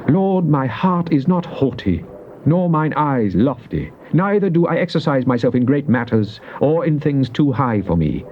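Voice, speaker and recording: A man recites scripture as drama, in a solemn, declamatory way. His voice sits at a middle pitch and he leans slowly on key words. The recording is a muffled old cassette with slight background noise. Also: very animated. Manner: solemn, declamatory, with drawn-out emphasis. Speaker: scripture reader. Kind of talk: dramatized recitation of Bible psalms.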